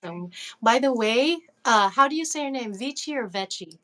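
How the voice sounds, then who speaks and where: Casting: bubbly woman artist, higher voice, very bright tone, chatty, laughs easily. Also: lively intonation, normal speed. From Philippines, grew up in Los Angeles. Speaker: indie music artist, guest. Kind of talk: casual interview over a call. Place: recording studio.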